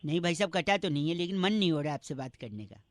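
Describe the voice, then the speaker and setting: A man's voice: on the high side, lively intonation, fast talker, close to an everyday voice. A man voicing a cheeky, pestering young prankster, teasing people on a radio prank call with mock politeness. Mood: mock offended. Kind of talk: comic prank call banter with riddles.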